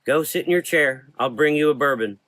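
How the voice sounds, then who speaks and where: Man voicing a blunt, higher-pitched woman companion. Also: brisk, fairly bright tone, dry recording, close to an everyday voice, rough recording. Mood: caring, brisk. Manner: blunt, questioning, informal. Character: strong young woman companion, blunt, caring, curious. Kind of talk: gothic horror audio drama dialogue.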